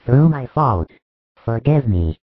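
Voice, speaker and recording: A man voicing a gentle religious teacher who consoles a dying friend tenderly. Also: mid-range voice, sing-song, takes it slowly, no room sound, phone-quality sound. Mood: tender reassuring. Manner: tender, reassuring.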